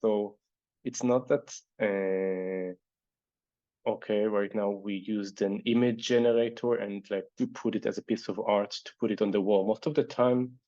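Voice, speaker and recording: A man with a Hebrew accent and a fairly low, mellow voice hosts a casual online panel. He thinks aloud and hesitates, restarting his sentences. The sound is dry and a bit dull, like a lo-fi video call. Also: fairly even intonation, unhurried, no room sound. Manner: thoughtful, hesitant, many fillers and restarts. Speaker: event host reading audience questions.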